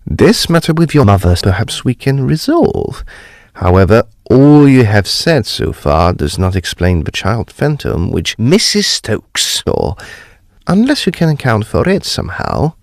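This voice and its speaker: Man as a creaky, deep gentleman sleuth. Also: wildly swooping pitch, dry recording, clean studio sound, heavily acted. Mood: measured, probing. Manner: formal, deliberate, theatrical. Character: measured, courteous gentleman ghost investigator. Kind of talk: period audio drama acting.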